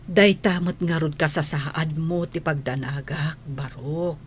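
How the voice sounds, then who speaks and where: A woman playing a concerned, gently questioning nanny in a radio drama. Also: deep voice, steady tone, a little slow, a little hiss or hum. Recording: music under the voice.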